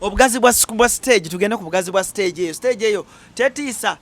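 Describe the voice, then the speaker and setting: A man's voice: high-pitched, fairly quick. A man hosting an interview, firing off teasing questions. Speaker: host. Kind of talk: casual interview, mixing Luganda and English.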